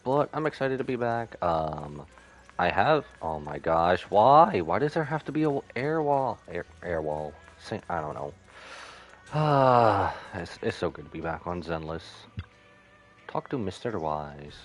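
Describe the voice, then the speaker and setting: A man's voice: low-pitched, sing-song, measured pace. A man live-streaming a game, giving casual, rambling commentary that drifts into asides.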